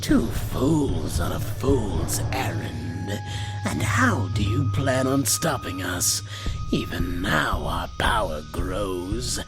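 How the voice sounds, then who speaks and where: A man's voice: fairly low pitch, very animated, takes it slowly, music in the background, exaggerated voice. A man playing an ancient, menacing demon in an audio drama, making grandiose, gloating threats. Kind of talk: audio drama villain acting.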